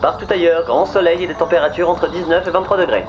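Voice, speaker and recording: A man playing a polite weather presenter, giving a measured, scripted forecast in a French comedy audio drama. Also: on the high side, music in the background, natural voice, poor audio. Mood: upbeat, formal. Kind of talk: parody broadcast weather report, scripted.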